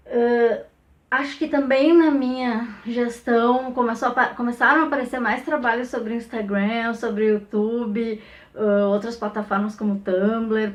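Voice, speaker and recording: A woman with a Brazilian Portuguese accent, an academic speaking off the cuff in a conversational way, often tacking on small tag questions. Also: on the high side. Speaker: academic researcher and professor. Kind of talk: informal recorded testimonial, unscripted reflective talk.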